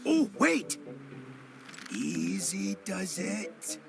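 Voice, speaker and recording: A man's voice: fairly high pitch, wildly swooping pitch, drawn-out words, gravelly, full character voice. An actor voicing a spirited, boastful old retired fisherman in a video game, with folksy old-salt talk. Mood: excited, urgent. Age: older. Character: spirited old retired fisherman, hearty whale-hunting sea dog. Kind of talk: video game character acting. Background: music.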